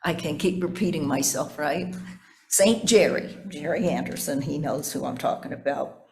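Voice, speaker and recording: A woman's voice: low-pitched, vocal fry, a crowd in the background. Older woman preaching a reflective sermon. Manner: deliberate, emphatic, with folksy rhetorical questions to listeners. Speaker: pastor. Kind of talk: sermon, reflective with conversational asides.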